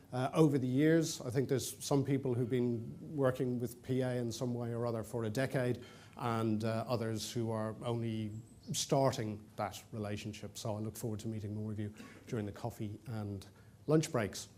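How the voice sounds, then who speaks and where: A middle-aged Irish man speaks to a conference audience in a warm, mellow voice at an ordinary pitch. He rambles a little, joking about himself, with plenty of fillers and restarts. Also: a little hiss or hum. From Ireland. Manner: chatty and self-deprecating, with frequent fillers and restarts. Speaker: conference presenter. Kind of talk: conference talk.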